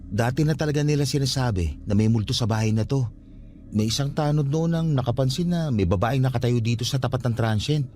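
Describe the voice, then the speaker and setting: A Filipino man acting in a radio drama as a calm, respectful young caretaker of a haunted guesthouse, speaking politely and reassuringly. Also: mid-range voice, a little fast, slight background noise, close to an everyday voice. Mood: matter-of-fact.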